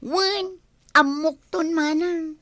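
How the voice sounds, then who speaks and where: High, bright evasive younger sister. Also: female voice, steady tone, slow, no echo, heavily acted.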